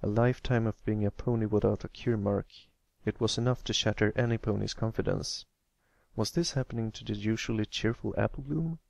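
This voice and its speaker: Man narrating a story, gravelly edge. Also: fairly low pitch, fairly quick, a little mellow, dry recording, highs cut off. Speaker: amateur fan fiction reader.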